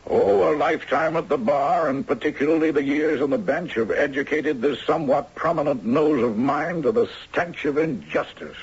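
Husky, courtly old judge. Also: male voice, on the high side, normal speed, few pauses. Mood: resolute. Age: older. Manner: florid, courtly, old-fashioned phrasing. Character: elderly retired judge, courtly, chivalrous, wistful old romantic. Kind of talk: 1970s radio drama acting. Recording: dull lo-fi 1970s radio recording.

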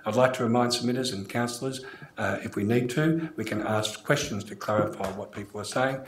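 An older man with an Australian accent, formally chairing a meeting as mayor in a measured, procedural manner. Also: medium pitch. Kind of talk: formal scripted meeting address. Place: indoor council chamber. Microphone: gooseneck desk microphone. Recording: echoey room, clear background noise.

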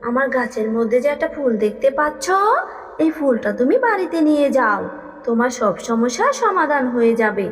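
A woman's voice: sits high, animated, fairly quick, voice pushed down. A woman voicing a kindly, remorseful talking tree in a cartoon, soothing and coaxing. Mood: kindly, promising. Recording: long reverb with music underneath.

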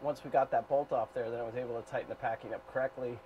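A man's voice: medium pitch, steady tone, very fast, muffled recording, slight background noise. A man in his fifties walking through a boat repair in a cramped engine room, talking plainly as he works. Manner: plain practical talk with fillers, thinking aloud while working. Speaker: boat owner vlogger. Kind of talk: hands-on repair walkthrough. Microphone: action camera mounted in the engine room. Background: running boat engine.

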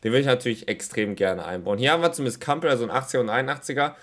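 A young man talks casually at his desk mic, his voice slightly hoarse from a cold. He rambles along, filling gaps with ums and ahs, in a small room at home. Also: medium pitch, racing. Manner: chatty, rambling, many filler sounds. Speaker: gaming YouTuber. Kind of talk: casual gaming commentary.

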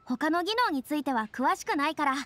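A woman's voice: high-pitched, expressive, fairly quick, fairly bright tone. A woman voicing a youthful, curious and earnest young lady in an anime game, with slightly theatrical, boyish phrasing. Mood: curious, thoughtful. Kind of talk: Japanese anime game voice acting. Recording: studio voice with music underneath.

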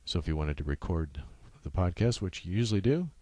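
A man giving a casual, unscripted software walkthrough in halting steps, speaking into a USB microphone. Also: low-pitched, animated, no room sound. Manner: halting, unscripted step-by-step explaining. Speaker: software tutorial presenter. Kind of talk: casual screen-capture tutorial narration.

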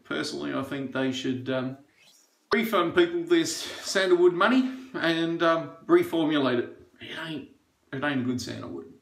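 A middle-aged man talks while he shaves, opinionated and rambling. His voice sits a little high for a man. The small bathroom rings with echo around him. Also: gravelly. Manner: chatty and opinionated, rambling with fillers. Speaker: shaving reviewer. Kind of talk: casual chat while shaving. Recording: echoey bathroom.

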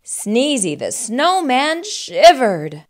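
A middle-aged woman narrates a children's storybook. Her voice is high and lively in melody, and she takes her time with each phrase as a storytime host. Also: drawn-out words. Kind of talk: expressive children's storybook read-aloud.

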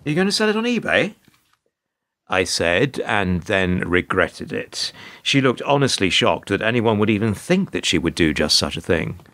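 A man's voice: lowish voice, sing-song, moderate pace, a bit bright. A British man playing a weary middle-aged narrator in a radio play, dry, hesitant and sardonic. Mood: wry. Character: wry, weary older male narrator, reluctant bystander.

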